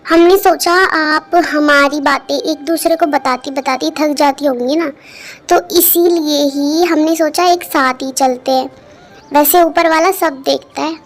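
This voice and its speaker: Woman playing a young daughter-in-law, high voice, bold, frank, outspoken. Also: steady tone, unhurried. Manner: frank, sharp-tongued.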